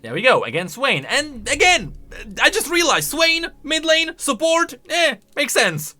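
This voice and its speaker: A man's voice: extremely high pitch, huge pitch swings, a little slow, bright, forceful tone, soft background music. A man giving casual, wry, opinionated reaction commentary as a video game lore commentator.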